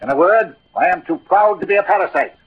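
A man's voice: sits high, expressive, fairly quick. An actor playing a proud, witty, lovesick swordsman and poet in a theatrical, eloquent old radio drama manner. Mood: defiant, proud. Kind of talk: 1940s-50s theatrical radio drama acting. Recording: old husky phone-like radio recording.

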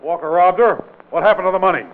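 A man acting as a hard-driving investigator in an old radio crime drama. His voice sits fairly high for a man and pushes with brusque authority. The sound is thin and band-limited, like a vintage broadcast. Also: fast talker, narrow, tinny audio, close to an everyday voice. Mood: accusing, insistent. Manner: authoritative, brusque, insistent rhetorical questioning. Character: forceful, commanding male state investigator exposing a killer.

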